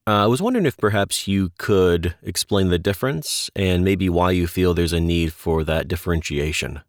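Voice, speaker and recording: A man's voice: deep voice, moderate pace, dry recording, studio quality. A man hosting a podcast interview, putting questions and observations to a guest in a conversational way.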